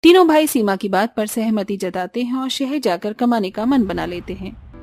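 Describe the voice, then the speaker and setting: A woman's voice: medium pitch, animated, moderate pace, darkish tone. A woman narrating a scripted cartoon family story.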